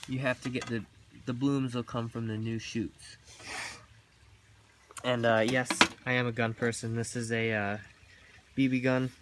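A man's voice: medium pitch, fairly bright tone, a little hiss or hum. A young man giving a casual tour of his backyard garden while filming, talking with many ums and uhs. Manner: halting, many ums and uhs, chuckles at own jokes. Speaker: gardening vlogger. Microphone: handheld camera mic, filming himself off screen.

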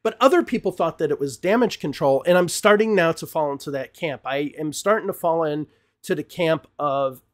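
A man's voice: on the high side, moderate pace. A middle-aged man, host of his own channel, gives an emphatic, opinionated commentary to camera from a small home studio, often repeating words as he gets worked up. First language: English. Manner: gestures broadly with hands while talking. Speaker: English teacher and channel host. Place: small home studio room with bookshelves, indoors. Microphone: podcast mic on desk in front of him.

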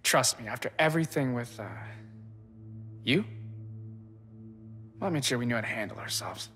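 An actor playing an exasperated, guarded young man in a video game cutscene, speaking in halting bursts. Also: medium pitch, animated, fairly bright tone, lightly acted. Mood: guarded, resigned. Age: young adult. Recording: music behind the voice. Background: cinematic music.

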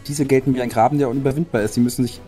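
A man's voice: medium pitch, fairly even intonation, very fast, mellow, soft background music. A man, a player in a tabletop strategy game, reasoning through tactics and asking rule questions. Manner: analytical, questioning. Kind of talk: strategy discussion.

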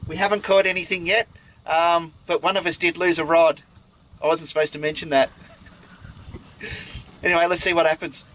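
Aussie fishing vlog host, middle-aged, high-pitched, easygoing, noisy outdoor camera audio. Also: male voice, little pitch movement, normal speed, pauses often, fairly bright tone. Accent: Australian. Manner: chatty, self-deprecating, laughs often. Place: small open boat on a river.

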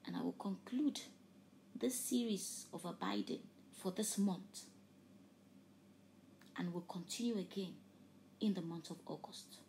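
A woman with Yoruba as her preaches fervently, her mid-range voice climbing to loud emphatic peaks. She often drops to soft, breathy near-whispers. A faint hiss of noise runs under the recording. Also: mid-range voice, measured pace, pauses often. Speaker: preacher and host. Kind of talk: preaching a sermon with an altar call.

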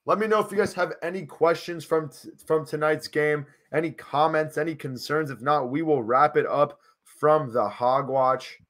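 A man's voice: on the high side, moderate pace, a bit bright. Young male streamer with a tiring voice. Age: 20s. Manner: informal, addresses viewers as guys. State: losing his voice. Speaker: livestream host. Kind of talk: casual fan livestream chat. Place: small home room, indoors. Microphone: close desk microphone.

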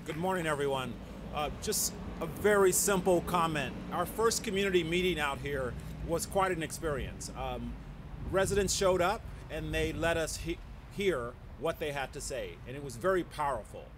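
A man's voice: fairly high pitch, lively intonation, moderate pace, noisy background. A middle-aged man, a landscape architect, giving a short, heartfelt and conversational speech at an outdoor podium. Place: outdoor plaza. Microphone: podium mic.

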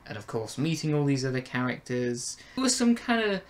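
A young man in casual review chat, speaking haltingly and tentatively as a co-host in a small bedroom. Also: medium pitch, big pitch swings. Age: young adult. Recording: noisy room ambience.

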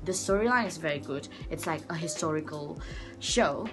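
A woman's voice: deep voice, normal speed, over music. Young Malaysian woman vlogging earnestly. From Sabah, Malaysia. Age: young adult. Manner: earnest, rambling with many restarts and fillers. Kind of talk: casual talk to camera. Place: small room indoors, by a door. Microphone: front-facing camera at arm's length.

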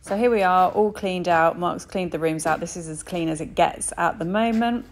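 Woman vlogger, low gravelly tone, casual house-tour chat, noisy phone audio. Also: moderate pace. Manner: chatty, frequent "um" and "so". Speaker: vlogger touring a house renovation. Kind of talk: casual walkthrough narration. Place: empty stripped-out house, indoors.

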